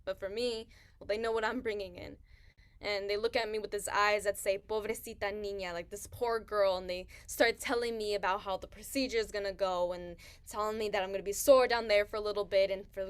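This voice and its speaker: An actress in a staged reading playing a grieving young pregnant woman who talks in halting, hushed phrases. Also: mid-range voice, clear, resonant tone, close to an everyday voice. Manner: halting, hushed, searching for words.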